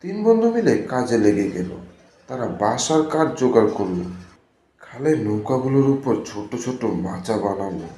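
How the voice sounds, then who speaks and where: A man's voice: mid-range voice, expressive, moderate pace, dark tone, echoey room, a little hiss or hum. A man narrating a scripted cartoon story.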